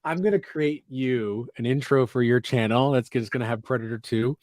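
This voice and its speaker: A man hosting a casual livestream chat, talking in rambling runs with quick asides, his voice a bit scratchy from allergies. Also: medium pitch, darkish tone. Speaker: podcast host.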